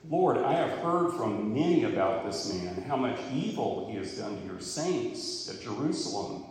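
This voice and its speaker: A man's voice: fairly low pitch, very animated, normal speed, a little mellow. A man preaching, reading scripture aloud and then explaining it in a conversational way. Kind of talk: expository Bible teaching. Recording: echoey hall with long reverb.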